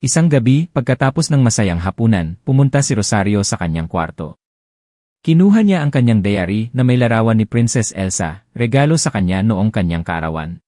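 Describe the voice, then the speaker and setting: A man reads a romance story aloud in a gentle, expressive storytelling way, his low voice rising and falling with a lively lilt. The tone is dark and mellow, and the recording is dry and close with no room sound. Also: brisk, no room sound. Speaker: audiobook narrator. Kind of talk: scripted storybook narration.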